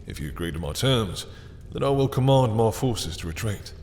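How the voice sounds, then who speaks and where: A man voicing a possessive, sadistic male vampire lord in an audio roleplay, smooth and taunting, with soft chuckles and low whispers. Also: sing-song, moderate pace, in a large hall, a little hiss or hum. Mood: seductive.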